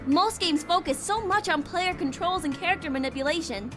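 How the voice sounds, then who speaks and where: A woman's voice: very high-pitched, fairly quick, music in the background. An actress playing an eager, earnest young woman who loves visual novels, in a voiced game.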